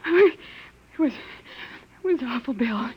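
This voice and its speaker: An actress playing a frightened young wife in 1950s radio drama style, anxious and pleading on a vintage recording. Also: female voice, high-pitched, lively intonation, extremely slow, highs cut off, exaggerated voice. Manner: anxious, breathy pleading, urgent.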